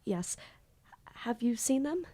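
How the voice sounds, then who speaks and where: A woman's voice: fairly high pitch, big pitch swings, slow pace, dark tone. Woman doing a dramatic nerdy lovesick student in an audio play, fancy theatrical wording, kinda echoey. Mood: flustered, nervous. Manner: grandiose theatrical diction. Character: flustered lovestruck student, melodramatic and bookish.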